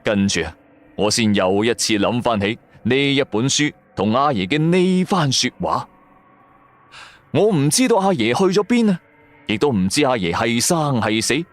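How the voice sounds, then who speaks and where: A man with a Hong Kong Cantonese accent narrating a first-person story for a radio drama in a colloquial storytelling manner. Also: medium pitch. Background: music.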